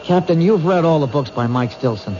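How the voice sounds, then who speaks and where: A man's voice: a little high, lively intonation, brisk, natural voice. A man playing an earnest, dogged police detective in 1970s radio drama, speaking with urgent insistence. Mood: respectful, insistent. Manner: urgent, insistent, reasoning things out aloud. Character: earnest, dogged male police detective protecting a threatened woman. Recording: noisy, dull 1970s radio recording.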